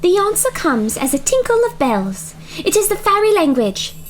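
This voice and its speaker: A woman's voice: very high-pitched, sing-song, normal speed, slight background noise. A woman narrating an audio drama in a theatrical storybook style.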